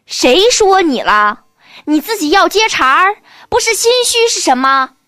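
A woman's voice: extremely high pitch, big pitch swings, unhurried, sharp tone, no room sound, studio quality, exaggerated voice. A woman playing a plump, spiteful, haughty shop clerk in a radio drama, snide and accusing.

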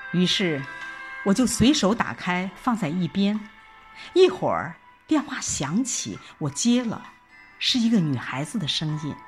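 Slow, expressive woman narrating a dramatic story. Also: fairly low pitch. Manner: emotive, theatrical delivery. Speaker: audiobook narrator. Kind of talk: dramatic first-person scripted narration.

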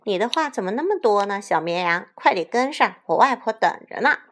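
A woman voicing a timid, excitable young male chicken in a children's story, jumpy and grumbling. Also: fairly high pitch, normal speed, breathy edge, voice pushed up. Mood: impatient grumbling.

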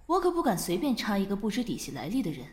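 A woman's voice: medium pitch, huge pitch swings, fast, long reverb tail. An actress playing a self-assured female finance director in an audio drama, curt, composed and businesslike. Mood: guarded, irritated. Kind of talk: Chinese audio drama acting.

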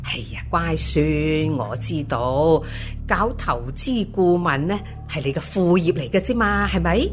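A woman playing a doting, nagging eighty-year-old grandmother in an audio drama, with a Hong Kong Cantonese accent and coaxing, drawn-out phrases. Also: on the low side, expressive, soft background music. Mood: insistent, confiding. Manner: drawn-out, coaxing, scolding fondly.

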